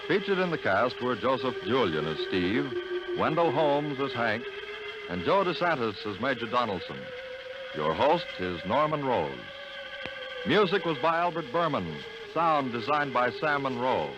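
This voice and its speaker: A man's voice: medium pitch, sing-song, measured pace, muffled recording, music in the background. A man announcing in 1950s radio style, with a dramatic, teasing delivery, heard on a noisy old broadcast recording.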